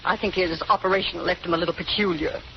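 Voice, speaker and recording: A woman playing a brisk, bossy hospital nurse in an old radio drama. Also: lowish voice, steady tone, natural voice. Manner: brisk, officious. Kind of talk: 1930s-40s radio drama acting. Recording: muffled, noisy old radio recording.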